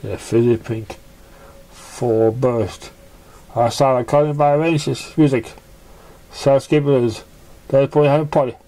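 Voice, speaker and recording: A narrator reading a story in an amateur storybook style, with halting, stumbling delivery. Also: mid-range voice, steady tone, mellow, rough recording.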